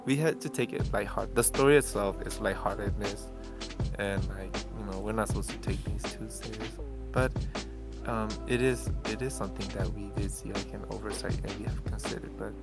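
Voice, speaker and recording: A man's voice: lowish voice, fairly quick. A man answering questions as a game developer in a casual, jokey, exclamatory live chat. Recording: prominent background music. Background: loud music.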